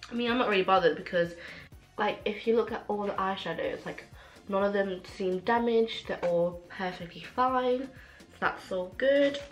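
A young woman reviewing makeup in a casual vlog, excitable and full of fillers, her voice a little rough from a cold and sore throat. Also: mid-range voice, normal speed. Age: young adult. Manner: gushing, excitable, many fillers. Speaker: beauty vlogger. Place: small room at home, indoors. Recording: echoey room with faint music.

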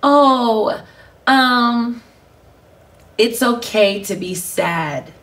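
A woman worship singer talking thoughtfully as a guest on an interview set, with many pauses and ums. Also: fairly high pitch, expressive, a bit bright, far from the mic. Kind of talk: casual interview chat. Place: bright living-room studio set.

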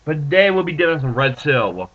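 A man in his twenties with a stuffed-up nose, rambling in a laid-back, halting way as he talks to his webcam in a small room with bookshelves. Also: a little high, very animated, slight background noise. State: stuffed up from allergies. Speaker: vlogger reviewing dip tobacco. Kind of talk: casual webcam vlog. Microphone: built-in webcam mic. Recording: muffled lo-fi webcam audio.

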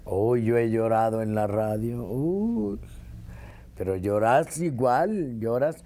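A man's voice: mid-range voice, expressive, takes it slowly, warm tone. A man, a veteran radio actor, reminiscing emphatically in an interview and repeating phrases for effect.

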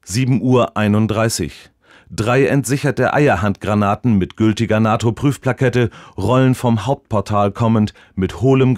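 An actor playing an earnest radio reporter in a mock news piece, deadpan, formal and precise. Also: male voice, lowish voice, dry recording, studio quality, close to an everyday voice. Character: earnest male radio reporter and chronicler, straight-faced, factual.

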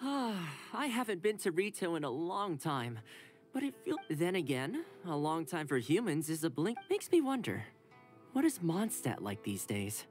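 A man's voice: a little high, sing-song, measured pace. A modest young housekeeper in a video game. His delivery is friendly and conversational, with lots of melodic movement. Faint music plays behind him. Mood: easygoing. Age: young adult. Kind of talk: scripted game dialogue.

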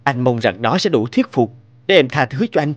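Male actor for a romantic young boyfriend in animated drama: sincere, tender, courteous, mid-range, close dry sound. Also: medium pitch, normal speed, no room sound, lo-fi sound, lightly acted. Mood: tender, earnest. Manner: earnest, tender, polite. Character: devoted romantic young boyfriend proposing marriage.

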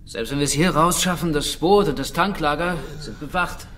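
A male actor as a sober, dry-spoken captive in a radio play. His voice is slightly high and a little distant, with faint noise behind. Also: moderate pace, fairly bright tone, close to an everyday voice. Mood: sober, bitter. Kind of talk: radio drama acting.

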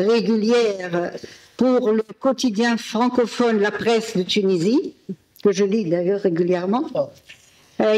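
A woman moderator reading a speaker's biography from notes at a desk mic, with pauses and fillers. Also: a little high, a little mellow, low-quality recording. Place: ornate hall indoors. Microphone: desk gooseneck mic.

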